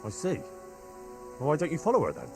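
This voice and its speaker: Plucky young medieval man, lively. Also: a little high, a little fast, over music. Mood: wry, probing. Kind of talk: naturalistic video game acting.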